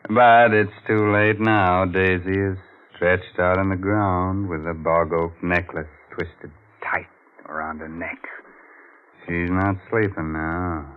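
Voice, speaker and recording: An actor playing a ghoulish, wisecracking horror show host on 1940s radio, with a sardonic, macabre manner. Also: male voice, low voice, fairly even intonation, slow pace, smooth. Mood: sly, macabre. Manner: sardonic, macabre, chuckling, drawn out. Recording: tinny band-limited 1940s radio recording.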